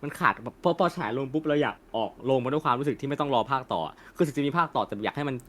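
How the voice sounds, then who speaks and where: A man's voice: mid-range voice, very fast, fairly bright tone. A young man in a casual, opinionated discussion as a co-host, talking colloquially with swearing and slang. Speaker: co-host of an anime discussion channel.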